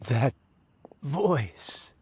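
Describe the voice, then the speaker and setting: An actor playing a gentle, jovial, wistful elderly man with an aged, folksy voice in a video game. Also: mid-range voice, big pitch swings, slight background noise. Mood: wistful, moved. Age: older. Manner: slow, chuckling, folksy. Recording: music behind the voice.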